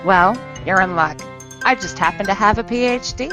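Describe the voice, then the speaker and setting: A woman host delivers a playful, inviting scripted opening at a middle pitch. Clear music plays underneath her voice. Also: normal speed, low-quality recording. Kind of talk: scripted show intro. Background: music bed.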